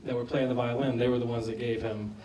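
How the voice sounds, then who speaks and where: A film director, a man, answering audience questions after a screening. He sounds a bit hoarse and talks conversationally, often restarting his sentences. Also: medium pitch, flat delivery, fast, dark tone. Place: large screening hall. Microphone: lost microphone, speaking unamplified. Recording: echoey hall, voice from far off.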